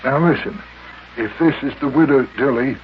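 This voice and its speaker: A man's voice: mid-range voice, moderate pace, phone-quality sound, slight background noise, lightly acted. A man voicing a blustering, flustered mayor in an old-time radio comedy, stammering as he protests. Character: blustering, flustered older mayor dodging marriage. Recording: band-limited old radio recording.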